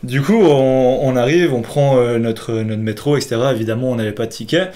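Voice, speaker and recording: A man's voice: medium pitch, fairly quick, mellow. A young man co-hosting a podcast, casually telling an anecdote to a friend in slangy, laughing bursts. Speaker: podcast co-host.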